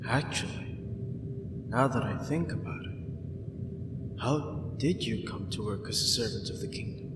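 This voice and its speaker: A man playing a dorky young dark fantasy prince, by turns pompous and awkwardly kind, in a scripted audio roleplay. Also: mid-range voice, a little slow, pauses often, natural voice. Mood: gentle, apologetic. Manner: grandiose monologue giving way to awkward, hesitant talk. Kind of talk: scripted ASMR roleplay acting. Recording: echoey hall, distant, noisy ambience.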